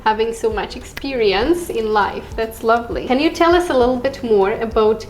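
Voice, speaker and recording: A woman's voice: a little high, moderate pace, slight background noise. A woman interviewing a political candidate, asking friendly questions in an encouraging way, with slightly uneven phrasing.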